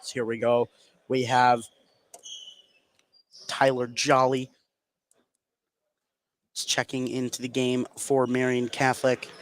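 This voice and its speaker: A man doing excitable live play-by-play of high school basketball in short running phrases. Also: slow, long pauses, slight background noise. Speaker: play-by-play sports commentator.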